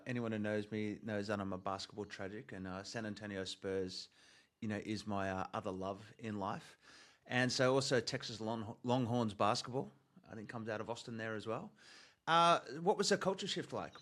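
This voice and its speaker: A man's voice: on the low side, moderate pace, fairly bright tone. An Australian man hosting a podcast, asking questions in a friendly, informal way. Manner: friendly, informal, says "mate". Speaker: podcast host. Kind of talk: casual interview chat.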